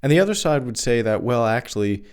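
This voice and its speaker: A man's voice: medium pitch, sing-song, fast, clear, resonant tone. A young adult man hosting a solo podcast, talking casually through his thoughts with frequent restarts and asides. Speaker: podcast host. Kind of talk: solo philosophy podcast monologue.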